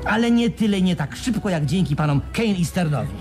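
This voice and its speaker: A man's voice: high-pitched, lively intonation, fairly quick, over music. A male actor in a radio play playing a shrewd, self-satisfied schemer who explains things with patient confidence. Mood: confident. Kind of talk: Polish radio play acting.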